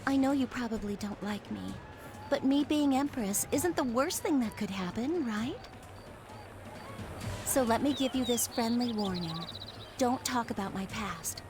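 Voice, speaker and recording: A woman's voice: on the high side, lively intonation, a little slow, over music. A woman voicing a young, cold and arrogant empress in a game, speaking in a haughty, dismissive way. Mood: coldly warning.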